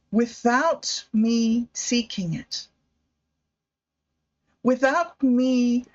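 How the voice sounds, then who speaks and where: A woman's voice: mid-range voice, lo-fi sound. A woman vlogger talking through her thoughts in a reflective, digressive way, given to self-mocking laughter and close to happy tears. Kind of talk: reflective personal vlog monologue.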